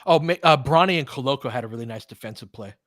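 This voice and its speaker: A man's voice: medium pitch, lively intonation, fast, dry recording, clean studio sound. A man doing casual live basketball commentary and chatting with viewers, informal and slangy with some swearing. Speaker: host of a fan watch-along.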